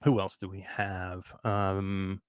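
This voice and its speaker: A man of fifty hosting a live show in casual chat, reading out greetings with excitement. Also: low-pitched, slow, clean tone, no room sound. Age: 50s. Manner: excited, animated chat shout-outs. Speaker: host.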